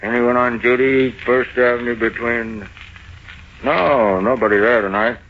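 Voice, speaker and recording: An actor playing a brisk, shrewd police captain with an urgent, commanding manner in an old-time radio crime drama. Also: male voice, medium pitch, fairly even intonation, a little hiss or hum, close to an everyday voice. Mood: businesslike. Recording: muffled vintage radio recording.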